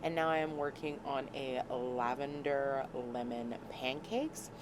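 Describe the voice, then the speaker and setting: Woman, low voice, hoarse from a cold, chatty, self-mocking, vlog host, noisy background. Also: measured pace. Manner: chatty and self-mocking, laughs at her own clumsiness. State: slightly hoarse from a cold, scratchy throat. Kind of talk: casual cooking vlog narration. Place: small RV kitchen. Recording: noticeable background noise.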